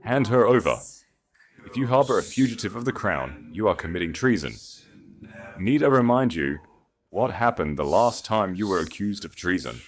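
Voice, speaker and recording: A man's voice: on the low side, very animated, moderate pace, voice pushed up. A man voicing a sneering, cruel royal enforcer in an audiobook, menacing and commanding. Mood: angry, threatening. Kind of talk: audiobook character voice.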